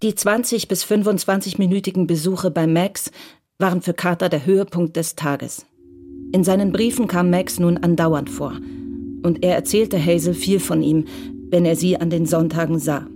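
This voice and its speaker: A narrator reading a radio crime drama in a measured, even way. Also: mid-range voice. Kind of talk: scripted narration. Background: music.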